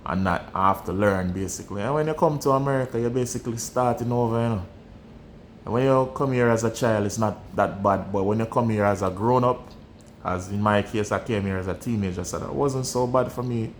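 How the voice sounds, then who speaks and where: A man's voice: lowish voice, fairly quick, slight background noise. A young Jamaican man with a Jamaican accent, talking candidly and bluntly in a casual vlog and often repeating his phrases. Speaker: vlogger. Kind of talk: casual personal storytelling.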